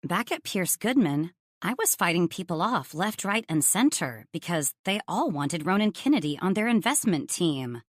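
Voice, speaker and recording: Low-voiced woman narrating romance fiction. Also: sing-song, normal speed, no echo, clean studio sound. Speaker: audiobook narrator. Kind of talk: first-person romance audiobook narration.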